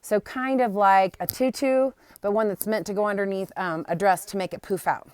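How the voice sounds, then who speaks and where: Woman, storybook reader, expressive delivery, explanatory asides, medium pitch, dry recording. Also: moderate pace, clear, resonant tone, dry recording. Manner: expressive, acting out the characters. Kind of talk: children's book read-aloud with short explanatory asides.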